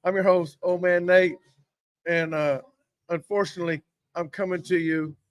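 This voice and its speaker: Hoarse man ranting about football. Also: sits high, steady tone, normal speed, dry recording, dull audio. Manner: rambling, gets worked up over play calls. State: hoarse from yelling. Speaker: host. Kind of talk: casual sports talk. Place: sports bar.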